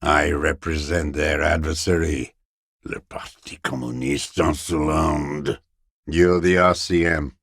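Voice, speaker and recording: Gravelly, very deep old revolutionary man. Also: steady tone, fairly bright tone, dry recording, clean studio sound, full character voice. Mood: contemptuous. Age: older. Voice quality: gravelly, raspy, worn low voice. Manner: slow, haunted, ideological declaiming with accusatory edge. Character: bitter old communist deserter, last defender of a fallen commune. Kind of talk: dramatic video game voice acting.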